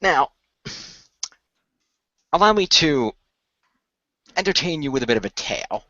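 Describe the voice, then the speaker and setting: A man playing a courteous, earnest keeper of a magical secret in a radio play, speaking in a formal, measured storyteller's way. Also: a little high, sing-song, bright, forceful tone, no room sound, muffled recording, heavily acted. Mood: solemn storytelling.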